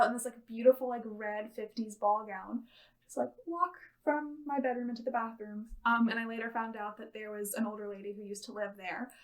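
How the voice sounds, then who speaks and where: A woman's voice: fairly high pitch, poor audio. A young American woman telling a personal story casually as an interview guest. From Ohio, United States.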